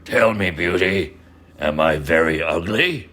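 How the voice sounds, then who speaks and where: A man voicing an ugly, commanding yet lovesick beast in a storybook, theatrical and raspy. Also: sits low, measured pace, fairly bright tone. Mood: pleading. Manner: theatrical, emphatic.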